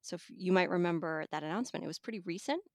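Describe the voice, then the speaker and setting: Thoughtful woman with a low, creaky voice. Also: rapid-fire, no room sound, clean studio sound. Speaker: magazine editor reviewing an audiobook as guest. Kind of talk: conversational book review.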